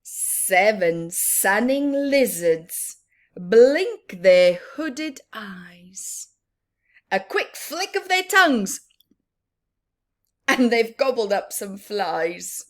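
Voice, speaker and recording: A woman reading a picture book aloud to young children, interactive and expressive, with a sing-song, questioning manner. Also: mid-range voice, takes it slowly. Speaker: children's story reader and teacher.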